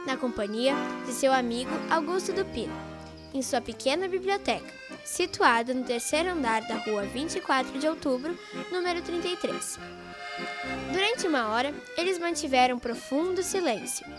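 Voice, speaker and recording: A girl of about twelve with a Brazilian accent reads scripted narration for a radio play. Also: sits high. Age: child, about twelve. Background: music.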